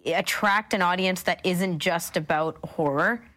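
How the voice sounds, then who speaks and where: A woman's voice: fairly low pitch, measured pace, a bit bright. A woman co-hosting a talk show in a casual broadcast style.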